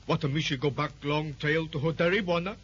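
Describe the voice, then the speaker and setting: Old witch doctor, 1930s radio serial, a little high, broken pidgin, wily and fearful, dull lo-fi recording. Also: brisk, a bit bright, slightly rough. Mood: scheming. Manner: broken pidgin English, pleading.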